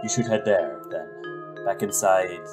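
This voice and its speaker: A man voicing a stern, self-righteous witchfinder in an animated drama, commanding and formal. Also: low-pitched, takes it slowly, over music. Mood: brisk, commanding.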